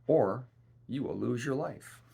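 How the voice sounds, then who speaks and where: A man acts out a greedy, threatening fairy-tale king. He is commanding and forceful, with a husky voice and dramatic swings in pitch. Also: lively intonation, slow pace, exaggerated voice.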